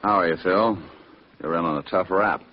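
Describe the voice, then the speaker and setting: An actor playing a wisecracking ex-cop private detective with a wry manner in a vintage radio drama. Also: male voice, deep voice, normal speed. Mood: probing. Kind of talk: 1940s-50s radio detective acting. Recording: muffled, hissy old radio broadcast.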